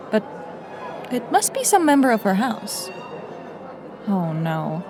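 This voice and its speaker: An actress playing an anxious young woman infiltrator who mutters to herself in a hushed, self-questioning way in an audio drama. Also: medium pitch. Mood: exasperated.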